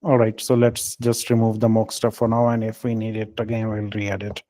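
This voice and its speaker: A man leading a technical team call, speaking in a halting, hesitant way with filled pauses. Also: on the low side, monotone, dark tone, no echo. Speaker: meeting lead.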